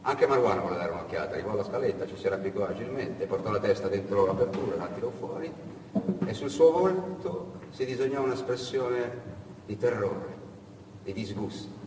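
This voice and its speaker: A man's voice: lowish voice, lively intonation. Man storytelling on stage, echoey hall. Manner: emphatic, with dramatic pauses. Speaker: actor and storyteller. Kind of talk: theatrical dramatic narration. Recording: echoey hall, distant mic, faint noise.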